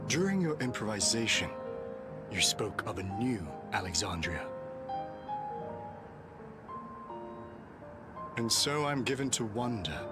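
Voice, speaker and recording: An actor playing a noble, grieving knight in a fantasy game, with formal archaic diction and an emotional, halting delivery. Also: male voice, lowish voice. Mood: courteous, curious. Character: noble, grieving old-fashioned knight, earnest and courtly. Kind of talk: theatrical fantasy game voice acting.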